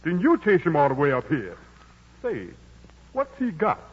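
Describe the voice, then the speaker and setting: A brash, boastful prizefighter in an old radio drama. His husky voice is bright and very animated in pitch. The broadcast is dull and lo-fi, with a long reverb tail. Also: normal speed, exaggerated voice. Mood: teasing. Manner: brash, taunting, folksy. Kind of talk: 1940s radio acting.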